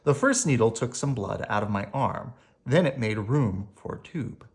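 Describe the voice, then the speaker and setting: An adult reading a picture book aloud to children in an expressive storytelling style. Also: mid-range voice, moderate pace.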